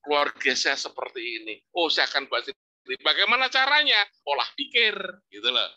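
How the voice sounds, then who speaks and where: Bright-voiced older professor telling stories. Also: male voice, on the high side, fairly quick, muffled recording. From Indonesia. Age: 60s. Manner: storytelling, lively rising emphasis, religious exclamations. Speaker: psychology professor giving a talk. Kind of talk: informal religious study lecture.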